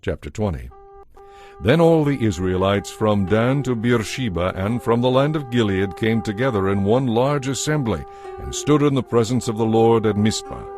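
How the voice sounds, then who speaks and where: A male narrator reads a dramatized Bible story. His voice is fairly low and moves expressively through measured phrases, with quiet music underneath. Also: lively intonation.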